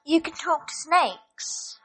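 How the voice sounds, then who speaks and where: A woman's voice: high voice, expressive, slow, pushed and bright, full character voice, low-quality recording. A woman in an audio drama playing an earnest, worried schoolgirl witch of about twelve who explains things carefully. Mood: concerned.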